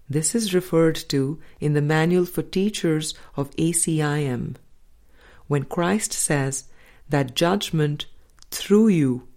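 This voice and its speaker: A woman reading a spiritual book aloud as an audiobook narrator, in measured, deliberate phrases with pauses. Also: deep voice, a little mellow. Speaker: audiobook reader.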